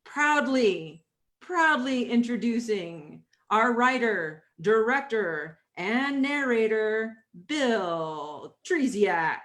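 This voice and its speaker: A woman's voice: fairly high pitch, expressive, extremely slow, fairly bright tone. A woman acting as MC of a virtual stage event, announcing performers at the curtain call.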